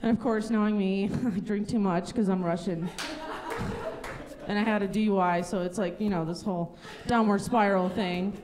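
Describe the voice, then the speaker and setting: A woman's voice: mid-range voice, fairly even intonation, normal speed. A woman performing a chatty, self-mocking stand-up comedy set on a stage in front of a live audience. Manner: chatty, self-mocking, loud character bits. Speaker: stand-up comic. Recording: audience noise in a live venue. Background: audience laughter.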